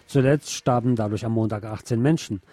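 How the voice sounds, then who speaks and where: A man reading scripted radio news in a measured, formal manner. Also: on the low side, warm tone, dry recording.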